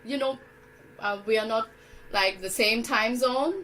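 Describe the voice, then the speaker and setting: A woman's voice: fairly high pitch, a little slow, a bit bright, a little hiss or hum, rough recording. A woman hosting an online show, chatting with many fillers. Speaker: host.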